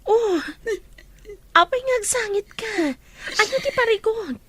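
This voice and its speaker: A woman's voice: extremely high pitch, animated, takes it slowly, fairly bright tone, no echo, heavily acted. A woman playing a concerned, inquisitive young best friend in an Ilocano audio drama. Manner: probing, questioning delivery.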